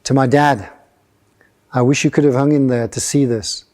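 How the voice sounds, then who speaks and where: Man in his sixties, mellow dark voice, measured acceptance speech. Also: medium pitch, fairly even intonation. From Yorkshire, England. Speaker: whisky distiller.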